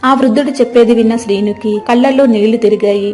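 Mellow, higher-pitched woman narrating a story. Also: steady tone, quick pace.

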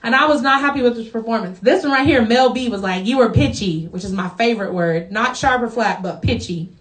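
A woman co-hosting a live chat and talking in an animated, laughing storytelling way. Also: a little high, fairly quick. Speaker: singer and co-host. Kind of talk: casual chat with an online audience. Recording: echoey room.

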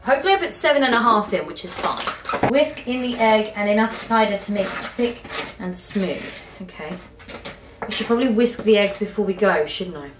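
A woman's voice: mid-range voice, animated, fairly quick, background noise. A young British woman chatting casually through a home cooking vlog in her kitchen, rambling and poking fun at her own mistakes. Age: young adult. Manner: self-mocking, rambling, chatty. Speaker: cooking vlogger. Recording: echoey kitchen with faint music.

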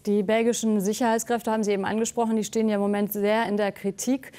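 A woman's voice: mid-range voice, level tone, quick pace, dark tone. A woman in her thirties with a standard German accent, interviewing live as a television news presenter. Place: TV news studio.

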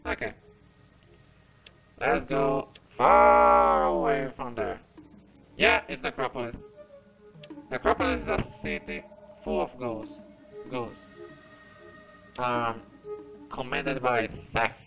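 Slow-talking, creaky-voiced man playing a game. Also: medium pitch, fairly even intonation, rough recording. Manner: self-deprecating, halting. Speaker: gamer commentating on own gameplay. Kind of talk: casual let's-play commentary. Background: game music.

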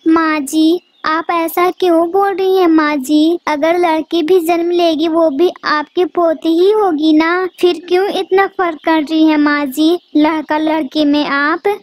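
A woman voicing a gentle young mother bird in a cartoon, pleading and praying anxiously. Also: extremely high pitch, fairly even intonation, a little slow. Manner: pleading, prayerful. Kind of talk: cartoon voice acting.

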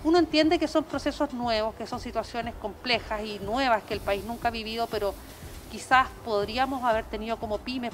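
A middle-aged woman with a Chilean accent, earnest and emphatic, answering a news interview as a small business owner through a face mask. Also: a little high. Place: open-sided restaurant terrace by a street. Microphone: handheld reporter microphone. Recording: outdoor street noise with traffic.

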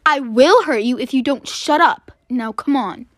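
A woman's voice: high voice, measured pace, no echo, clean studio sound. A woman playing a menacing, bossy kidnapper in a roleplay story, curt and threatening.

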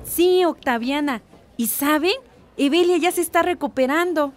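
Actriz como madre mexicana de voz aguda. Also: female voice, high voice, moderate pace, natural voice. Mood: matter-of-fact. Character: concerned, cautious village mother and organizer. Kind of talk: radio drama acting.

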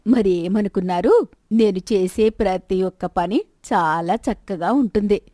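A woman plays a middle-aged merchant's wife in a moral tale. She is practical and persistent, chiding and questioning at a middling pitch. The sound is close and dry. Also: unhurried, warm tone, no room sound, lightly acted. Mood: assured, persuasive. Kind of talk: moral story dialogue.

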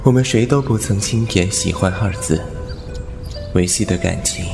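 A man voicing a thousand-year-old male fox spirit, speaking softly and slowly in a quietly melancholy audio-drama monologue. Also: sits low, vocal fry. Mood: wistful. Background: loud music score.

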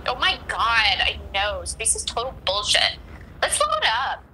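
A woman doing a bratty valley-girl gossip, very bright, swooping pitch, faint hiss, radio play. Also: very animated, moderate pace, poor audio. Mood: catty. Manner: valley-girl, foul-mouthed. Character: catty gossiping young woman floating in space. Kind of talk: sketch comedy radio play.

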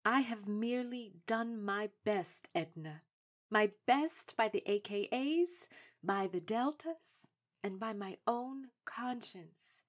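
An actress playing a gracious, principled older mentor in a radio drama, formal and measured. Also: female voice, a little high, animated. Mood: gracious, resolute.

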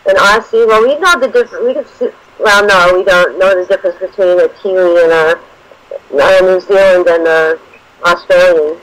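A man co-hosting a radio talk show, chatting casually in a halting way with restarts and "you know.". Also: sits high, fairly even intonation, a bit bright, slight background noise, low-quality recording. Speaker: radio co-host. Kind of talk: casual on-air chat.

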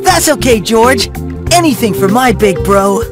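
A woman's voice: on the high side, expressive, measured pace, music in the background. A woman voicing a small, eager and polite young boy mouse in a video game, with a perky, earnest manner. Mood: warm. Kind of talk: video game cutscene acting.

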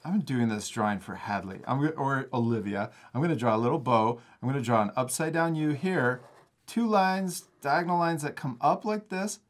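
A man's voice: mid-range voice, lively intonation, brisk. A man hosting a step-by-step drawing lesson for children in a casual, encouraging manner. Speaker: art teacher and host.

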